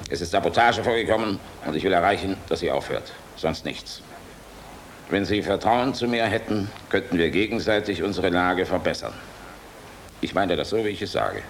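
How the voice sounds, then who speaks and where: An actor in a German radio play, playing a middle-aged air force general, weary and decent, measured and frank with dry irony. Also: male voice, low voice. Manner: measured, frank, dryly ironic. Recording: noisy radio play recording.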